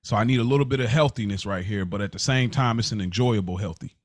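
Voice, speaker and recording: Animated emphatic male podcast co-host. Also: lowish voice, quick pace, dry recording. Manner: animated, emphatic, talks in bursts. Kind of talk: casual podcast chat.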